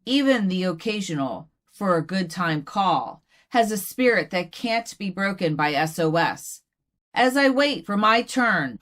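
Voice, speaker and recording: A woman voicing an earnest doll poet, reciting poems in a measured, deliberate way. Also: mid-range voice, fairly bright tone. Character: earnest female doll poet giving a reading. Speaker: poet reading own work. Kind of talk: poetry recitation.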